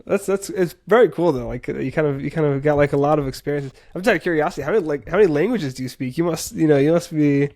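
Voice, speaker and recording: A man's voice: on the high side, fast talker, few pauses, darkish tone. A man in his thirties hosting an interview, friendly, curious and encouraging with his guest. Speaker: podcast host. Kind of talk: casual interview chat.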